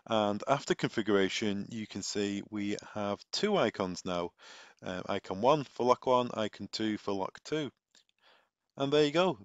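A man's voice: on the low side, clear, resonant tone, no echo, muffled recording. A man presenting a technical tutorial voice-over, giving instructional step-by-step directions. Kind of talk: scripted tutorial voice-over with informal walk-through.

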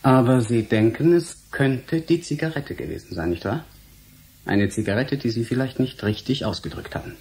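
A man's voice: mid-range voice, animated, normal speed, a little mellow, husky. Determined, stubborn male scientist. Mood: insistent. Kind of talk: German radio drama acting.

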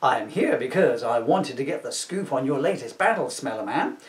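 A man's voice: mid-range voice, animated, moderate pace, roomy sound, far from the mic, natural voice. A man voicing an eager, indignant female TV news reporter in a comic storybook reading, earnest and chatty.

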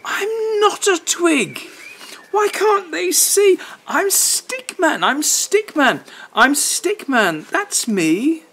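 A man puts on an indignant little stick man from a storybook. The voice is pitched high and drawn out slowly, pleading and protesting in an emphatic chant. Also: big pitch swings, creaky, sharp tone, a little hiss or hum, exaggerated voice. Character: indignant, pleading little male stick creature longing for home.

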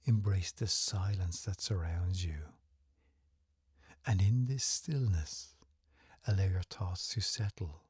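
A man narrating a guided meditation in a soothing, gentle, unhurried way, his breathy, husky voice often falling close to a whisper. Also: sits low, no room sound.

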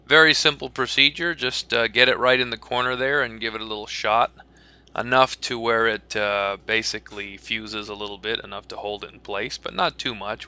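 A man who teaches welding narrates a voice-over of his own welding footage. He explains in a plain, practical way and often pauses with "uh". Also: medium pitch, sharp tone, clear, resonant tone. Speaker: welding instructor.

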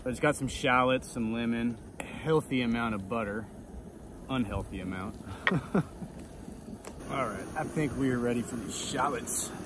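Young male outdoor vlogger, eager and exclaiming, camera mic with clear outdoor noise behind. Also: mid-range voice, normal speed. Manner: enthusiastic, exclaiming. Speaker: fishing vlogger. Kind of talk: casual outdoor vlog narration. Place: outdoors on a coastal jetty and grassy shore. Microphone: camera mic at arm's length. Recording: outdoor noise from wind and surf.

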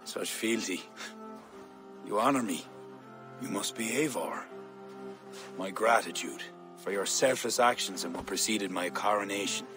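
Proud played by a man: low voice, regal, measured, game cutscene over prominent music. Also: natural voice. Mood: gracious, measured. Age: middle-aged. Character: proud, stately, shrewd Irish High King. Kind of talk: video game cutscene acting.

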